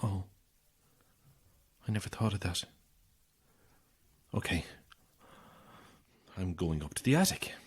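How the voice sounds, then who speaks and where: A woman's voice: very deep voice, lively intonation, takes it slowly, long pauses, darkish tone, husky, full character voice. An actress in a horror audio drama playing a frightened, unravelling woman, anxious and moving between whispers and cries. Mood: uneasy. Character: frightened, unravelling woman trapped on an empty housing estate.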